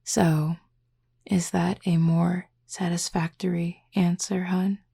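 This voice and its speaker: A woman playing a flirty, teasing, confident werewolf pack member in an intimate audio roleplay, speaking unhurriedly with a husky, gravelly voice. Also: fairly low pitch, level tone, slow, warm tone, exaggerated voice. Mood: sly, teasing.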